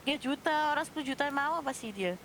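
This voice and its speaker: A woman's voice: high voice, racing. A young woman in casual gaming chat, blunt and slangy over a very noisy mic.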